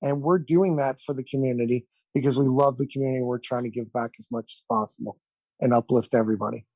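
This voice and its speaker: A man's voice: medium pitch, steady tone, fast talker, a little mellow, no echo. A man giving earnest, enthusiastic interview answers as an event founder, effusive and given to repeating his points. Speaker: event founder, podcast guest.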